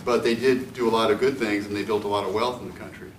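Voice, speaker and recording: A man's voice: fairly low pitch, steady tone, fast talker. A man giving his opinion in an unscripted discussion. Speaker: discussion participant. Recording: echoey, distant mic, faint noise.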